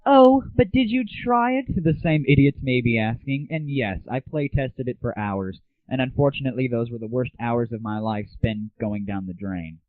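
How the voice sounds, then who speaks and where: A man's voice: mid-range voice, moderate pace, hardly any pauses, no echo. Muffled lo-fi recording of an irreverent man hosting. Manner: crude, sarcastic, irreverent. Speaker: host of a solo internet audio show. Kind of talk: casual scripted monologue about games and the internet. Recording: muffled lo-fi 1990s internet audio, dry.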